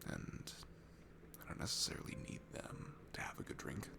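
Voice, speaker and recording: A man playing a shy, nervous, sweet young guy at a bar in an intimate ASMR roleplay, stammering and hesitant, with a soft gravelly voice that drops into hushed asides. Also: normal speed, lightly acted. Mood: sheepish. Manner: hesitant, stammering, self-deprecating, breaking into hushed breathy asides. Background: music.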